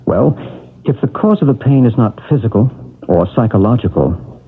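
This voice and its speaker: An actor playing a gentle, probing male psychic healer who talks in a soft, measured, reassuring way, in a radio drama. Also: mid-range voice, expressive, breathy edge. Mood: calm. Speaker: psychic researcher. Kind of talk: 1970s radio drama acting. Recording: tinny old radio broadcast.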